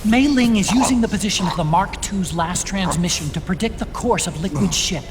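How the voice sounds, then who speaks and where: An earnest tech expert in a game cutscene. He explains things in a brainy, intent way, with clear background noise. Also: high voice, animated, fairly quick. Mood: earnest, reassuring. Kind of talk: dramatic video game cutscene acting. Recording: noisy background ambience.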